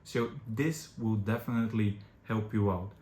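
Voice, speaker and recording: A young man from Bulgaria whose first language is Bulgarian, speaking in English as an agency founder who gives direct, practical advice to camera and often pauses on "uh". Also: fairly low pitch, fairly even intonation, darkish tone. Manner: direct, advisory, frequent "uh" fillers. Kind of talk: informal explainer to camera. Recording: echoey room with faint background noise.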